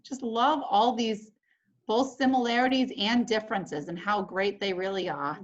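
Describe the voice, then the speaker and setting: A woman's voice: mid-range voice, moderate pace, muffled recording. A woman reading a picture book aloud for children and adding conversational remarks. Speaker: story reader, co-host.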